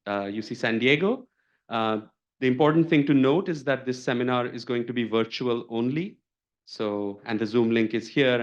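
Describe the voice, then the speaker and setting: A man organizing a seminar, giving casual housekeeping announcements with lots of fillers. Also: on the low side. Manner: casual, full of uh and um. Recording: dull lo-fi lecture recording.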